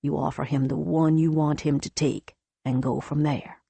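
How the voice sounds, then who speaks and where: A woman voicing a controlling, wealthy older father who lectures his daughter in a smooth, knowing way, with a gravelly, husky low voice. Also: level tone, moderate pace, dark tone, dry recording, exaggerated voice. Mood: lecturing. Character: controlling older rich father, calm and scheming.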